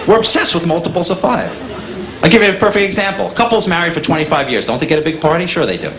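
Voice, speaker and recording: A man with a New York accent doing a stand-up comedy set, delivering punchy deadpan jokes with quick asides to the crowd. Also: fairly high pitch, a little hiss or hum. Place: comedy club stage with a live audience. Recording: echoey live room with loud audience laughter.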